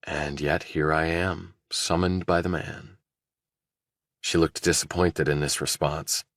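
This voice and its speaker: A man narrating a thriller audiobook, reading scripted prose and character dialogue in a deep voice. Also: little pitch movement, slow, a bit bright, no echo, clean studio sound.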